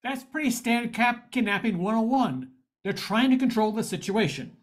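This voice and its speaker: A confident, wry private eye from an old-time radio play, read by an older amateur actor. The delivery is very animated, with wide pitch swings. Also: normal speed, close to an everyday voice. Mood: businesslike.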